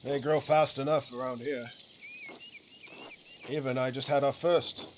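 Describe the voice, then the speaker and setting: Guy playing a blunt villager dude in a game, matter of fact, normal pitch, nature noise behind. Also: fairly even intonation, a little slow, lightly acted. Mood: plain, earnest. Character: blunt, plainspoken male villager, new father. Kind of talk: reading game dialogue aloud.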